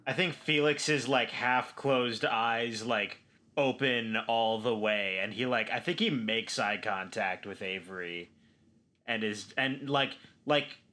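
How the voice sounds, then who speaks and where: A man's voice: fairly low pitch, bright, forceful tone. A man playing a tabletop game, describing his character tentatively and searching for words. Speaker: player.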